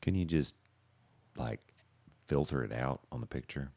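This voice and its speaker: A man's voice: very deep voice, unhurried, creaky, a little mellow, dry recording. A man co-hosting a podcast in casual banter, playfully dropping in quips. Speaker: podcast co-host.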